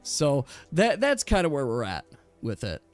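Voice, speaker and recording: Higher-pitched man chatting as game master. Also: wildly swooping pitch, measured pace, faint music behind. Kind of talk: casual chat with players.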